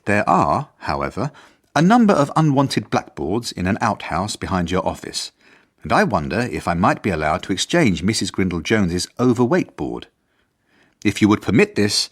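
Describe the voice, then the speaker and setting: Deep earnest schoolmaster, measured and formal but lively melody, radio drama narration. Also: male voice, breathy edge. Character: earnest, persistent, mild-mannered male acting head teacher.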